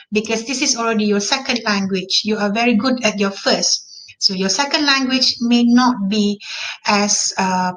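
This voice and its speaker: A woman's voice: mid-range voice, a bit bright, a little hiss or hum, poor audio. A woman with a Malaysian accent, a native Malay speaker, giving an online class lecture and repeating key terms for emphasis.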